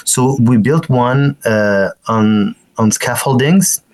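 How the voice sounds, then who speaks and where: A man in his forties with a French accent, a festival director talking as a radio guest, halting with many restarts and fillers. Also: mid-range voice, darkish tone. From France. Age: 40s.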